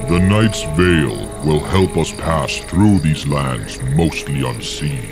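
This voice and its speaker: An extremely deep orc chieftain, proud and stern. He speaks slowly and impatiently, like a commander in a fantasy game, with music underneath. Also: animated, full character voice. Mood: grave, commanding. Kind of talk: scripted fantasy game cutscene acting.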